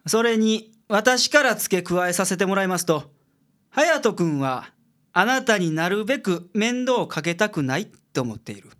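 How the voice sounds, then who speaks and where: An actor playing a gruff, blunt detective boss with a Kansai accent in a radio drama. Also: male voice, sits high, sing-song, moderate pace, a bit bright. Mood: calm, explaining. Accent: Japanese, Kansai. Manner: brusque, grumbling.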